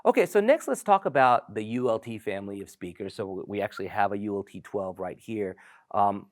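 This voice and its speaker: A man with a low voice hosts a product discussion and leads it along with enthusiasm. His voice is recorded dry and close, with little room sound. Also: lively intonation, a little fast, dry recording. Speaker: host.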